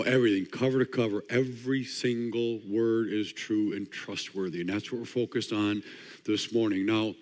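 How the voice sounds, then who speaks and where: A hoarse older man from Wisconsin preaching fast, with a dry, joking delivery. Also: mid-range voice, a little mellow. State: hoarse, partly lost voice. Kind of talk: fast-paced sermon talk. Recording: long hall reverb.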